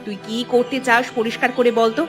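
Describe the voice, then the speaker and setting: A woman's voice: on the high side, steady tone, fast talker, soft background music, close to an everyday voice. A woman playing a sensible married elder sister from a village in a cartoon, with a firm, admonishing manner. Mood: concerned, placating. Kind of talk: cartoon dialogue.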